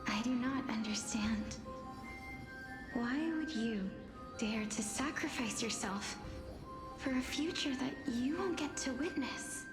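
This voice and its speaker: Earnest, timid young game heroine, slightly high, hesitant, roomy sound with music. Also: female voice, takes it slowly, pauses often. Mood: distressed, confused. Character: timid, earnest young lady, chosen by death. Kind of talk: dramatic video game voice acting. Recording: roomy reverb under music.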